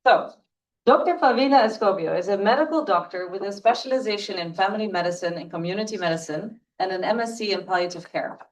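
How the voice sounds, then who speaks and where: A woman's voice: medium pitch, quick pace, distant mic, poor audio. A woman moderating an event, reading a formal speaker introduction from paper.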